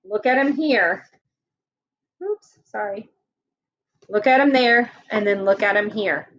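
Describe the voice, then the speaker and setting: A woman's voice: medium pitch, fairly bright tone, lo-fi sound. A woman teacher reading a picture book aloud to children and stopping to ask them questions in a patient way. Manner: slow, patient, asks questions to young listeners. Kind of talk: read-aloud story with class questions.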